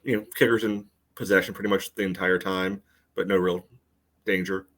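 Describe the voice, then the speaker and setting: A man co-hosting a sports podcast, giving casual commentary in a halting, filler-heavy way. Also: low-pitched, little pitch movement, fairly bright tone. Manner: halting, peppered with "you know". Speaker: podcast co-host.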